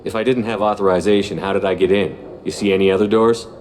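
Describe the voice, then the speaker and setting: Man as a deep-voiced, brisk military commander. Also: fairly even intonation, darkish tone, a little hiss or hum, close to an everyday voice. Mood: cool, assertive. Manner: clipped, matter-of-fact. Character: businesslike, no-nonsense male military commander on surveillance. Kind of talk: video game voice acting.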